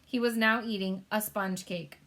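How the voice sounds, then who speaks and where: A woman reads a children's story aloud. Her voice sits at a medium pitch, and the narration is expressive. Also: moderate pace. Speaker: story reader.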